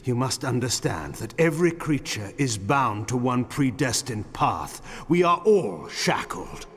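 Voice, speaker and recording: A man's voice: mid-range voice, big pitch swings, a little slow, fairly bright tone, husky, heavily acted. An actor playing an ancient, commanding male vampire lord in a video game, imperious and solemn, with formal archaic diction. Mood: grave, pleading. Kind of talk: dramatic video game voice acting. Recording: long cavernous reverb.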